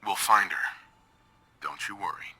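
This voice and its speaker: An actor playing a detective's sardonic partner in a video game, blunt and flippant. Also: male voice, low voice, measured pace, sharp tone, slightly rough, no echo, exaggerated voice. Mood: serious. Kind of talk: video game protagonist dialogue.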